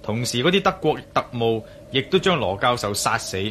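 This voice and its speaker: A worldly adventurer in a hong kong cantonese radio drama, super animated, teasing and emphatic. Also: male voice, mid-range voice, a little fast, a little hiss or hum. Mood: serious, firm. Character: worldly, teasing adventurer, urgent when crossed.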